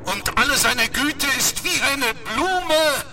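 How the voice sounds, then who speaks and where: A man's voice: very high voice, lively intonation, takes it slowly, far from the mic, background noise, full character voice. A man playing a solemn, fervent prophet reciting scripture in a radio drama, in a declamatory, incantatory manner.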